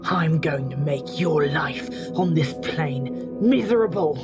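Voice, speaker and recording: A man's voice: a little high, lively intonation, slow pace, over loud music. A man playing a naive young amateur magician in an audio drama, desperate and stammering. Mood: defiant. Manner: pleading, stammering.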